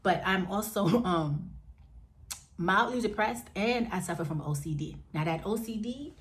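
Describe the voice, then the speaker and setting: A woman in her forties chatting frankly to camera at home, addressing viewers as y'all and jumping up in pitch now and then. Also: unhurried. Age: 40s. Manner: lively, with sudden jumps up in pitch. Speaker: vlogger. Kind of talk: candid casual chat to camera.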